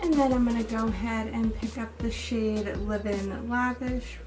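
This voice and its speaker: A woman with a slightly raised pitch and a little hoarseness talks casually through a makeup look, step by step and full of fillers. She sits back from the microphone, and clear music plays behind her. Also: measured pace. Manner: relaxed, step-by-step, with many fillers. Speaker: beauty vlogger. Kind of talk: casual makeup tutorial and review. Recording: distant mic with music playing behind.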